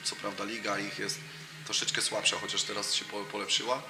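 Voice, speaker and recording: A man's voice: fairly low pitch, level tone, fairly quick, loud music behind the voice. A man in his thirties, a football coach as interview guest, talking casually with many fillers and restarts, recorded on a table mic in a cafe room. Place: cafe room with brick wall and sofa. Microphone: table mic in front of him. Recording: roomy, noisy room.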